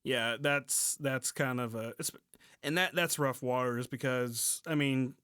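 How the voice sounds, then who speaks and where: Man, easygoing podcast sidekick, slow conversational delivery, slightly hesitant, dry close recording. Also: mid-range voice, steady tone, a bit bright, clean tone, no room sound. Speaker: podcast co-host. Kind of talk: casual podcast chat. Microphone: close mic on remote podcast call.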